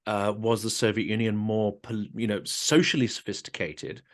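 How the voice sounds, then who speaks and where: A man hosting an interview, adding measured points and prompting his guest. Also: lowish voice, fairly even intonation. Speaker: podcast host. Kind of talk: conversational interview.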